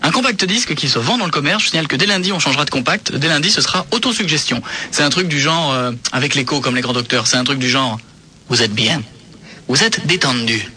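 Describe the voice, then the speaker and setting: A man's voice: fairly high pitch, expressive, fast, sharp tone, a little hiss or hum. A man hosting a radio show, joking playfully with mock outrage and frequent laughter. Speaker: radio host.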